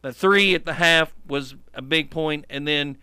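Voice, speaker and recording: Bright, crisp man recapping scores. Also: on the high side, unhurried, no echo. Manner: recites scores, corrects himself often. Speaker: sports radio co-host. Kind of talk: game recap commentary. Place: radio studio. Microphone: studio microphone.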